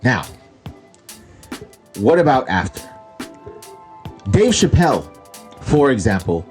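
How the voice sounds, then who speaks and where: Animated man arguing his point on a podcast. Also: mid-range voice, slow pace, faint music behind. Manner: animated, argues with emphasis, asks questions. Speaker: podcast co-host. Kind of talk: casual chat.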